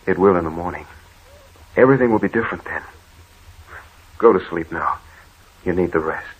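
Man playing protective husband, lowish voice, earnest old radio horror acting, hissy narrow-band recording. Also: normal speed, lightly acted. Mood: reassuring. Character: protective, reassuring husband in a haunted house. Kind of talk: 1940s radio drama acting.

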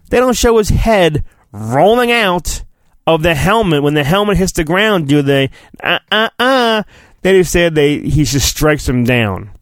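A man with a fairly high, very animated voice reads a storybook in a theatrical, mock-dramatic style. He takes his time and often breaks off into irreverent comments. The recording is dry and close. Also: no room sound. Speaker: podcast host. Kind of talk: mock-dramatic storybook reading with crude comic asides.

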